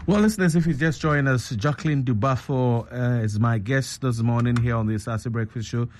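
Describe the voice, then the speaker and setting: A man hosting a breakfast radio show, asking a guest measured, probing questions. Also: mid-range voice, darkish tone, slight background noise. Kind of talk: radio interview.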